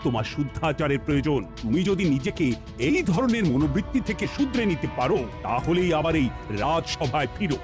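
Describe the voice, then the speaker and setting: A man's voice: fairly high pitch, big pitch swings, fairly quick, music in the background. A man voicing a stern, easily outraged cartoon king who scolds and commands with emphatic repetition. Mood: angry. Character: indignant, stern but simple cartoon king.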